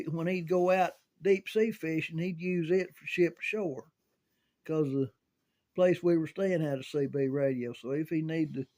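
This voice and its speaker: An older American man reminiscing casually in a halting, folksy way, often repeating words as he goes. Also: fairly high pitch, darkish tone. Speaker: storyteller sharing recollections of CB radio and volunteer rescue.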